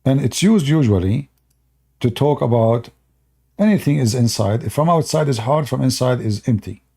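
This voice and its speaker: A man's voice: mid-range voice, expressive, moderate pace, creaky, dark tone, breathy edge. A man whose first language is Arabic, explaining word origins in unscripted talk, hesitant with many fillers and restarts. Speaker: religious commentator.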